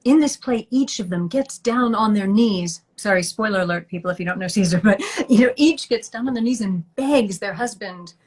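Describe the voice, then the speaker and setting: A woman actress being interviewed on a video call, chatty and lively, often laughing between thoughts. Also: mid-range voice, normal speed, hardly any pauses, husky. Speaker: actress, guest. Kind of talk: interview chat.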